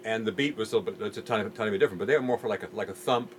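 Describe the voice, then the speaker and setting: Low-voiced easygoing man from England. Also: very fast, a little hiss or hum. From England, raised in Jamaica. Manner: easygoing, hesitant with many ums. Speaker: music producer guest. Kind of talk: relaxed interview answers.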